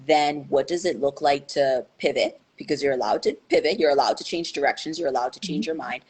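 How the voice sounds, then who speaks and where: A woman's voice: deep voice, brisk, darkish tone, breathy edge. A young woman answering interview questions in a thoughtful, hesitant way, with many fillers and restarts. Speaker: guest.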